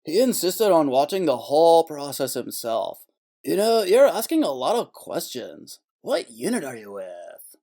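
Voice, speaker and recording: A man playing a chatty, weary slaughterhouse worker in a comic noir audio play, rambling and deadpan. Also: fairly high pitch, a little slow, vocal fry, exaggerated voice. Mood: chatty, blunt.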